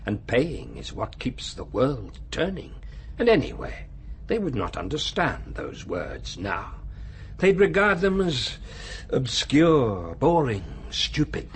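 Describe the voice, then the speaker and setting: An actor playing an urbane, cynical man of high authority in a radio drama, lecturing in a measured, condescending way. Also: sing-song, slight background noise. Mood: dismissive. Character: male world ruler, urbane, coolly cynical, menacing authority.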